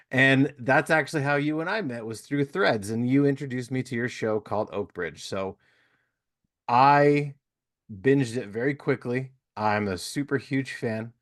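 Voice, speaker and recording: A man's voice: medium pitch, a little slow, a bit bright. A man hosting a podcast interview, chatting casually and rambling with lots of "you know" fillers. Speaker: podcast host.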